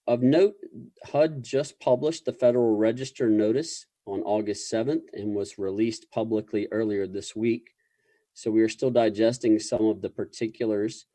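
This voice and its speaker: A man giving a formal government presentation as a public official, in measured, bureaucratic and slightly hesitant phrasing. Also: fairly low pitch, dark tone, no echo.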